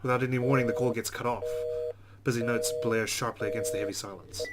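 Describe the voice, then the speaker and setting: A man's voice: medium pitch, fairly even intonation, fast. A man reading a visual novel's first-person narration aloud as a let's player. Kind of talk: dramatic narration of on-screen game text. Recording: noticeable background noise.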